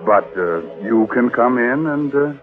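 An actor playing a ghoulish, mock-cheerful host of a 1940s radio mystery, theatrical and tongue-in-cheek on a muffled old broadcast. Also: male voice, mid-range voice, expressive, unhurried, soft background music, natural voice. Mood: gleefully macabre. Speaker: horror radio show host.

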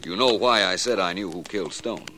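Suave radio detective, 1950s, deep-ish bright voice, polished n sure of himself, faint noise. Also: male voice. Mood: composed. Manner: polished, formal, deliberate phrasing. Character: suave, self-assured male detective. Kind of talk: 1950s radio drama acting. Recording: noisy old radio recording.

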